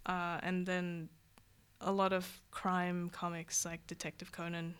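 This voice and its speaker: A woman's voice: fairly low pitch, completely flat delivery, unhurried, a bit bright, clear, resonant tone. A woman from Vietnam, a guest illustrator, chatting casually in an interview. She rambles and corrects herself, with many "like" and "um".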